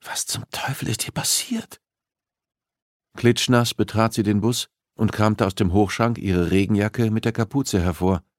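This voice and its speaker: Male audiobook narrator, fairly low register, dry sound, scripted dramatic suspense storytelling. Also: darkish tone, dry recording.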